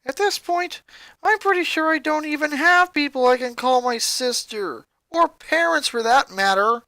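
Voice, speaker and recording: A woman's voice: sits high, lively intonation, moderate pace, bright, forceful tone, no room sound, pitched above the performer's own voice. A woman voicing an angry, hurt young boy in an emotional, emphatic fan fiction reading. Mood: anguished, tearful. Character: angry, hurt young boy venting about his family.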